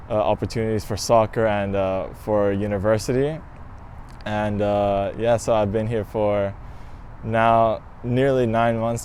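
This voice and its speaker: Teen student interviewee, low and flat, hesitant, faint street noise behind. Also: male voice. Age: teens. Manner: relaxed, hesitant with fillers. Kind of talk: casual interview answers.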